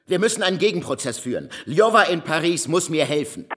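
Fiery old revolutionary, high-pitched, reverberant. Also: male voice, lively intonation, fairly quick, fairly bright tone, slight background noise. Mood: indignant, commanding. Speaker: radio play actor. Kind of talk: German radio drama acting.

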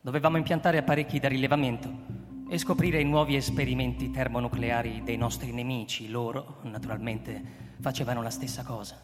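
A reflective male scientist , played by an actor, recounts a secret mission in measured, literary phrases. The voice sits in a long reverberant tail with music clearly playing behind it, as in an atmospheric radio drama. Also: medium pitch, steady tone, lightly acted. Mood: measured, intrigued. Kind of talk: dramatic scripted first-person narration, radio drama.

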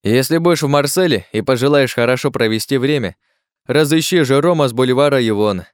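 A man's voice: medium pitch, fairly quick, clear, resonant tone, no echo, studio quality, voice pushed up, natural voice. A narrator voicing a brash, mocking young man of about twenty-five.

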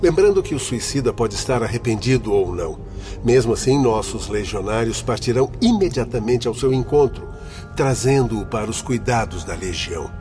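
An actor playing a learned, courteous spirit doctor in a radio drama, explaining things in formal, articulate speech. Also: male voice, medium pitch, expressive, normal speed, darkish tone, close to an everyday voice. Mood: earnest, explanatory. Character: earnest learned spirit doctor, courteous guide of a celestial institute. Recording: music behind the voice.

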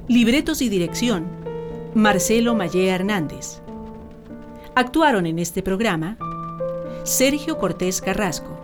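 A woman's voice: medium pitch, lively intonation, slow, pauses often. A woman narrating a scripted radio drama in a storytelling style. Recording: clear music bed under narration.

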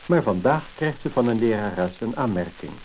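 A man with a Netherlands Dutch accent reading a scripted 1950s narration in a measured, formal manner. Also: on the low side, lively intonation, a little mellow. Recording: crackly, hissing mid-century disc recording.

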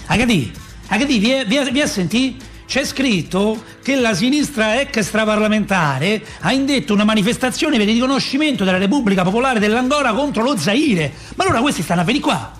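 A man's voice: high voice, lively intonation, brisk, fairly bright tone, soft background music. A male actor playing a grieving working-class Roman father, telling his story in an emotive, colloquial radio monologue with a Roman accent. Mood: alarmed.

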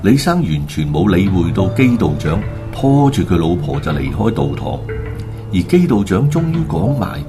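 Guy narrating a radio drama, lowish voice, really animated, music underneath. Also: moderate pace.

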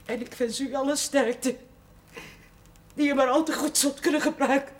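Actress as stiff old-fashioned housekeeper lady in a tv drama, fairly high voice, formal and reproachful. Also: fairly even intonation, moderate pace, close to an everyday voice. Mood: prim, aggrieved. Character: prim, old-fashioned priest's housekeeper, disapproving. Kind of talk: Dutch television drama acting.

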